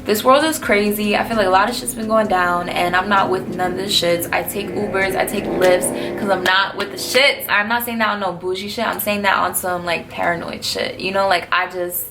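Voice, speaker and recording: A woman's voice: mid-range voice, fairly even intonation, moderate pace, few pauses, music in the background. A twenty-one-year-old woman from New City, answering viewer questions in a blunt, slangy, laid-back vlog. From New York City. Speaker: vlogger. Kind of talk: casual Q&A vlog.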